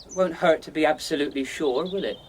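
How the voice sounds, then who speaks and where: Man playing a cocky, lovesick young king, lightly pitched. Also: quick pace, slight background noise, natural voice. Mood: brisk, commanding. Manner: commanding yet pleading, teasing. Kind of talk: radio drama acting.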